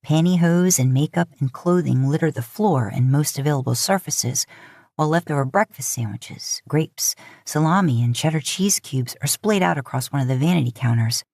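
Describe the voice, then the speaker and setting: Low-voiced woman narrating fiction. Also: normal speed, creaky, darkish tone, no echo, studio quality. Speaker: audiobook narrator.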